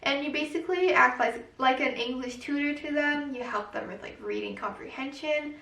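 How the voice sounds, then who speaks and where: A young woman speaks with a high, bright-sounding pitch and loose, chatty phrasing full of "like". She sits away from the mic, and the room adds a noticeable echo. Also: normal speed, vocal fry, recorded from a distance. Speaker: co-host, daughter. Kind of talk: casual chat.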